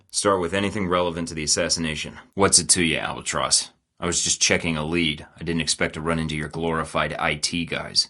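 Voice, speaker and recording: A man's voice: low voice, sharp tone. An actor playing a confident, sarcastic male secret agent in a video game, delivering dry quips. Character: wisecracking male American spy, confident and sarcastic.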